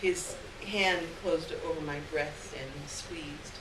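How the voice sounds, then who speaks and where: A woman giving an expressive dramatic reading of a literary short story live on stage, acting out the dialogue. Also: low-pitched, slow. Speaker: story reader. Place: live stage event, recorded from a distance. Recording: roomy distant live recording, clear background noise.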